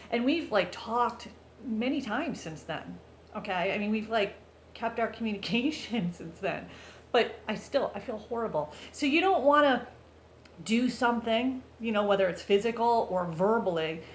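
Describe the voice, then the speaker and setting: A loud-voiced woman speaks to her viewers in an earnest, chatty, confessional way. Her voice carries through a roomy space with a faint hiss underneath. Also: mid-range voice. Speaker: vlogger. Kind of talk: casual confessional talk to viewers. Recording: roomy echo, faint background noise.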